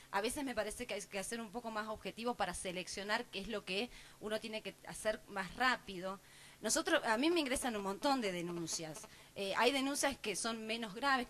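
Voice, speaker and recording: A woman's voice: medium pitch, fairly bright tone, slight background noise. Chatty woman at a job interview. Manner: talkative, explains at length with many fillers. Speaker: job candidate, assistant prosecutor. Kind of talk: formal oral job interview. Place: interview panel room.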